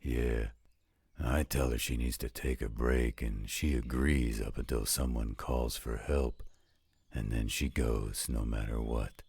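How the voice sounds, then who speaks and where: A man plays a gruff, patient older werewolf stepfather in an audio drama. The voice is extremely low, raspy and full of gravelly fry, delivered slowly and dryly, close and without echo. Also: steady tone, a little mellow, exaggerated voice. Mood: matter-of-fact, patient. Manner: gruff, dry, unhurried. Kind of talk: scripted roleplay audio drama.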